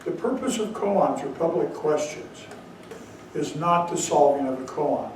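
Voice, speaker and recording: A man's voice: fairly high pitch, expressive, unhurried, a little mellow, slight background noise. A man giving a dharma talk as Zen teacher, telling a story with bursts of emphasis. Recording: very echoey hall, mic far off.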